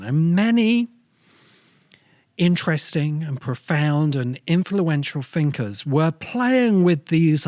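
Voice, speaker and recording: A man hosting a talk on ideas, speaking in an essay-like, explanatory style with measured, deliberate phrasing in short bursts. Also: on the high side, no room sound, studio quality. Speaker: host of a science fiction ideas show.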